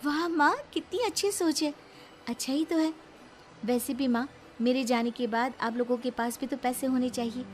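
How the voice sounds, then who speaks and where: A woman voicing an earnest, expressive young bride-to-be and devoted daughter in a cartoon story. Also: sits high, normal speed, slight background noise, voice pushed up, close to an everyday voice. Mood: pleased, sincere.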